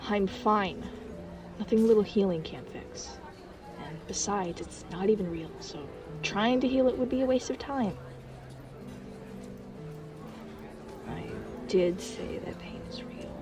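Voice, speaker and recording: A woman playing a sarcastic, gruff but protective dream watcher in an audio roleplay, with a brusque manner. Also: medium pitch, distant mic, lightly acted. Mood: irritated, dry.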